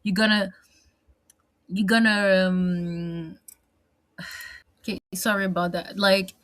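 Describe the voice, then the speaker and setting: A woman's voice: medium pitch, little pitch movement, slow pace, a bit bright. Rambling woman with a French accent. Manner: rambling, repeats words, lots of fillers; slips into French now and then. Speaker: vlogger. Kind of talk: candid personal monologue.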